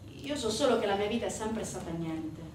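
Actress as young southern italian mom, hurt but defiant, theater monologue, roomy w faint noise. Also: female voice, mid-range voice, a little fast, a little mellow, close to an everyday voice. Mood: bitter, sorrowful. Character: young southern Italian mother, wounded, bitter, yet defiant. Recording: roomy, distant stage recording with faint noise.

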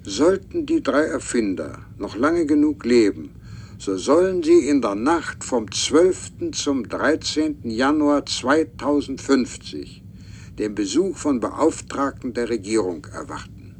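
An actor playing a solemn, formal official reading a letter deliberately in a German radio play. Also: male voice, mid-range voice, very animated, a little hiss or hum. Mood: solemn, measured.

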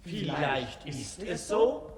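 A man's voice: fairly high pitch, lively intonation, crowd noise. An actor playing a ceremonious, noncommittal court councillor, drawing out his words in a 1950s German radio play. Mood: pompous, hedging. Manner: ceremonious, drawn-out, hedging. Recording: echoey hall, 1950s radio recording.

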